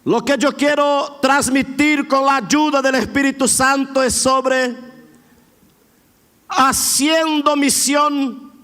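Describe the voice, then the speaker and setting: A middle-aged man preaching an emphatic, drawn-out sermon in Argentine border Spanish with a Brazilian-influenced accent. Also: very high-pitched, pushed and bright. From border area between Argentina and Brazil. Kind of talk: Pentecostal sermon. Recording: echoey hall with long reverb.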